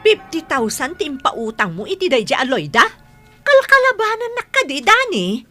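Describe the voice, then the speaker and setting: A woman playing a money-minded, nagging village wife in an Ilocano radio comedy drama, with an animated, scolding manner. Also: sits high, normal speed, faint music behind, full character voice.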